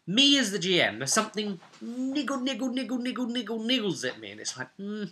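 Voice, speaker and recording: A man's voice: high voice, sing-song, fairly quick, bright, forceful tone. A man hosting a vlog as a game master, explaining casually in a rambling, emphatic way and repeating words for emphasis. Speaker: game master and vidcast host.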